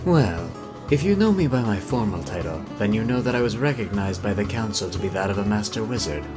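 A man playing a cocky, formal master sorcerer in a fantasy audio drama, measured and self-assured. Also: fairly low pitch, lively intonation, close to an everyday voice. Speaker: amateur voice actor. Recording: music behind the voice.

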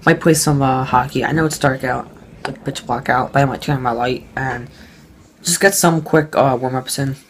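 A man's voice: mid-range voice, fairly even intonation, normal speed. A teenage boy chatting casually to his camera at home, rambling with lots of ums and uhs. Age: teens. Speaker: vlogger. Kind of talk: casual vlog talk to camera.

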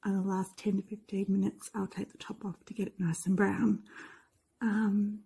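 A woman's voice: mid-range voice, little pitch movement, normal speed, vocal fry, slightly rough. A woman casually talking through her cooking in a home kitchen, chatty with trailing fillers, filmed on a handheld phone. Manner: chatty, trailing fillers, sometimes soft and near-whispered. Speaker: cooking vlogger. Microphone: handheld phone camera.

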